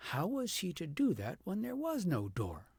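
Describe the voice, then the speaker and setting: Gravelly, husky man reading a storybook. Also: high voice, huge pitch swings, dry recording, clean studio sound. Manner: dramatic, deliberate phrasing with emphatic swells and hushed drops. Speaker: audiobook narrator reading a fairy tale aloud. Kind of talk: expressive storybook narration.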